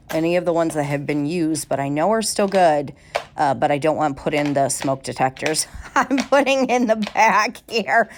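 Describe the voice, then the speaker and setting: Woman, nasal, congested, chatty vlogger, lowish voice, home kitchen. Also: slight background noise. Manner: bubbly and talkative, many fillers. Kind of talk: casual chatty vlog.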